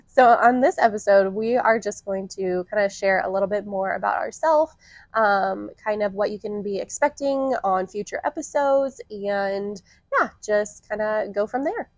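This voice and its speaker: A woman of about thirty co-hosts a podcast in a casual, upbeat chat. She laughs easily and scatters fillers through her talk, and her voice has a gravelly, creaky edge. The sound is dry and close, with almost no room around it. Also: mid-range voice, normal speed, no echo. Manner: chatty and upbeat, laughs often, many fillers. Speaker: podcast co-host.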